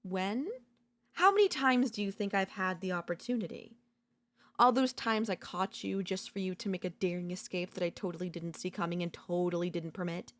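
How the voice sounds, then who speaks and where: A woman playing an obsessive, domineering yandere in a dark ASMR roleplay, seductive and smug, slow and teasing, slipping into whispers. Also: on the low side, lightly acted. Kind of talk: dark ASMR audio roleplay, intimate dramatic acting.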